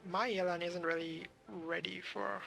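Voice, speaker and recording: A game streamer giving casual live commentary, thinking aloud in halting fragments over game music. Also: mid-range voice, steady tone, vocal fry, pushed and bright, low-quality recording.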